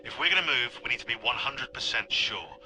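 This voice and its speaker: An actor playing a cautious, businesslike covert operative with a measured tactical manner in a video game cutscene. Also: male voice, medium pitch, expressive, pushed and bright, a little hiss or hum, poor audio. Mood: focused.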